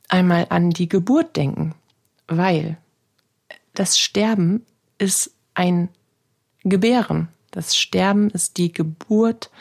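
A middle-aged German woman with a cold, hosting a podcast on grief and spirituality and talking gently and reflectively in a loose monologue that is full of questions. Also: fairly low pitch. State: has a cold. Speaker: podcast host, grief counselor.

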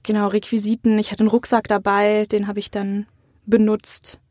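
A young woman speaks in a casual interview, telling things in an informal, anecdotal way. Her medium-pitched voice is recorded very dry, with almost no room sound. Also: monotone, normal speed, a little mellow, no echo. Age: young adult. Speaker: interview guest, acting student. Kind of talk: casual conversational interview answers.

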